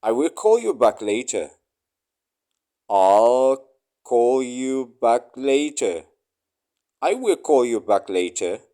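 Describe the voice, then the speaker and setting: A man reading short English phrases aloud for learners, saying each one three times slowly and clearly. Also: medium pitch, very animated, dark tone.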